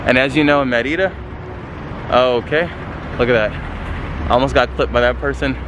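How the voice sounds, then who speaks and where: A man's voice: medium pitch, fairly even intonation, a little fast, roomy sound, very noisy. A man vlogging, joking and teasing in playful slang as he talks to the camera. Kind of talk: casual chat to camera.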